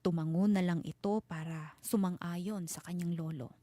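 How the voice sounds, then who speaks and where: A woman storyteller giving a dramatic scripted horror narration with suspenseful, deliberate phrasing. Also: fairly low pitch, fairly even intonation, dark tone, no room sound.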